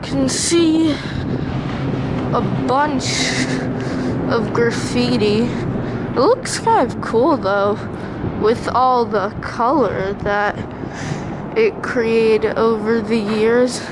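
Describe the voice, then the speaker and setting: Casual outdoor vlogger, highish voice, very drawn-out unscripted delivery, handheld camera sound with noticeable background noise. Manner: halting, unscripted. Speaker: vlogger exploring ruins. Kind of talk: casual narrated walk-through vlog. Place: outdoors in woods by a river and bridge. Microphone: handheld camera mic, operator behind camera. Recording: noisy outdoor camera audio. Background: ambient outdoor noise, passing vehicles.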